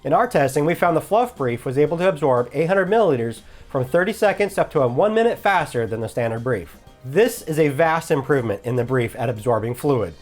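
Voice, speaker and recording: A man reading scripted instructional narration for a product review in a measured, explanatory manner. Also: fairly high pitch, lively intonation, soft background music. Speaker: host of a product review channel.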